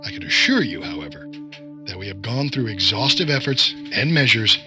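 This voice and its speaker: A man's voice: on the low side, big pitch swings, normal speed, music in the background. An actor playing a condescending government agent in an audio drama, wordy and mock-polite as he toys with his target. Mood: lecturing.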